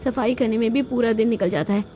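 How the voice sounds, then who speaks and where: A woman's voice: a little high, fast, warm tone, highs cut off, lightly acted. A woman voicing a resentful young daughter-in-law in a cartoon family drama, muttering complaints about being worn out. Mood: weary griping. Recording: band-limited audio with music behind.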